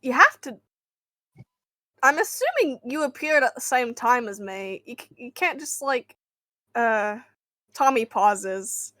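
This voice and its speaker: A woman narrating her character's actions in a tabletop game, improvising casually and haltingly as she searches for words. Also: fairly high pitch, fairly bright tone, dry recording. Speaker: player in a tabletop roleplay game.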